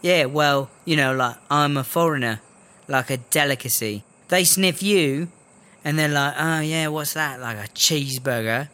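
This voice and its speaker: A brash English battle rapper, acted by a man, with a sharp, forceful voice set a little high. The delivery is crude, sarcastic and bullying, full of slang and mock offence, in the style of comedy audio drama. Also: measured pace, smooth. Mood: mock indignant.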